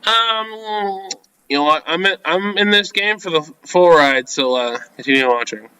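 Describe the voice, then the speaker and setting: Male let's-player, forward bright tone, informal read-aloud of visual novel text with off-the-cuff reactions, very dry recording. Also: high-pitched, moderate pace, smooth, no echo. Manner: informal, reacts with asides between read lines. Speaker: video game let's-player. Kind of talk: reading visual novel script aloud with casual commentary.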